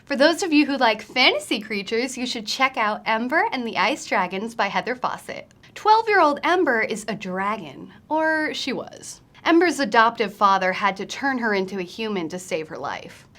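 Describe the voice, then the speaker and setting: A woman's voice: fairly high pitch, animated, moderate pace, hardly any pauses, a bit bright. A woman hosting a book recommendation video, speaking in a scripted presenter style to viewers. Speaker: host of a book recommendation series.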